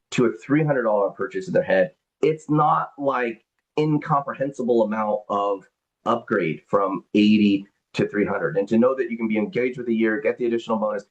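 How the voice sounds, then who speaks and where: A man hosting a business call, explaining membership benefits and taking questions, rambling with lots of fillers and repeated words. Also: fairly low pitch. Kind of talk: business presentation and Q&A on a group call. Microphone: conference call. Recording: dull lo-fi call audio.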